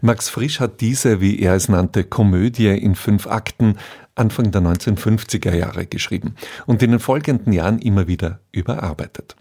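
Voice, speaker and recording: Low, husky male radio presenter. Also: moderate pace. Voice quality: husky, mellow. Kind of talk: scripted introduction to a radio play.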